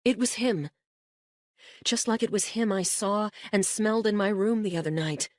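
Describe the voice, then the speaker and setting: A woman's voice: medium pitch, normal speed, no room sound, clean studio sound, voice pushed up, close to an everyday voice. Woman voicing an earnest grieving daughter. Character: grieving adult daughter, quietly certain.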